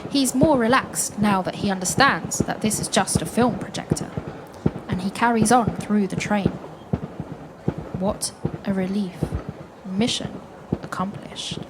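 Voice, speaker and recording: A woman playing an expressive storyteller in an audio play, addressing the listeners as she narrates. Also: mid-range voice, unhurried, long pauses, background noise, close to an everyday voice. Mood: suspenseful. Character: storyteller woman, calm yet dramatic, playing a brave spy.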